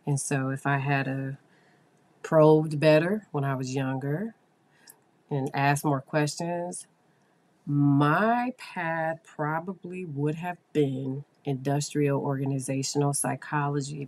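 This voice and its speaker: Woman, hoarse, low pitch, podcast host, psychologist, casual explaining. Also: darkish tone. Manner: chatty, explains with fillers. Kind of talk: casual solo podcast talk.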